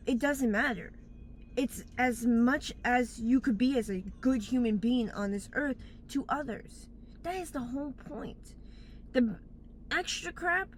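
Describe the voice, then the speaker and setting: A woman's voice: a little high, slight background noise. A woman giving blunt life advice in a casual, rambling monologue, recorded outdoors on a phone, often stopping to search for words. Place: outdoors in bright daylight.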